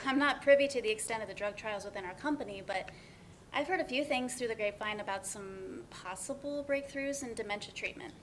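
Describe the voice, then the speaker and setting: A woman's voice: on the high side, a little fast, a bit bright, slight background noise, close to an everyday voice, low-quality recording. A woman playing an earnest young professional in a dramatic reading of a stage play, speaking conversationally with small hesitations. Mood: thoughtful. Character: Kat, earnest, apologetic young professional woman, teasing friend.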